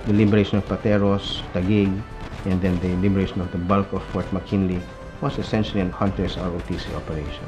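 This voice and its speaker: A man giving a measured, factual historical explanation in a documentary interview. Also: deep voice, fairly even intonation, music in the background.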